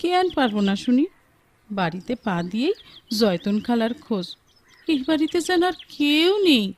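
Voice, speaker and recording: An actress in a radio drama playing a coy, sulky but loving young village wife. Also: female voice, fairly high pitch, very animated, a little slow. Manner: coy, pouting. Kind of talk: Bengali radio drama acting.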